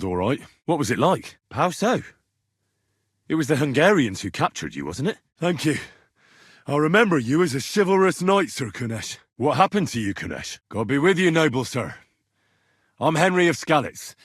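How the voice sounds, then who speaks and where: An actor playing an earnest, blunt young medieval squire in a video game, plain-spoken and a little husky. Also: male voice, mid-range voice, dry recording, clean studio sound. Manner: plain-spoken, polite to nobles, bluntly challenging when provoked. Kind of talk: video game dialogue acting.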